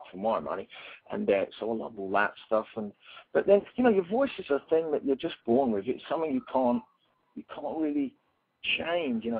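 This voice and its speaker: A man with a raspy, slightly croaky voice, talking about his songs in a relaxed, rambling way. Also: medium pitch, no room sound. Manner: relaxed, rambling, many fillers. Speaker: singer-songwriter guest. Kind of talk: casual interview answers. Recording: tinny phone line.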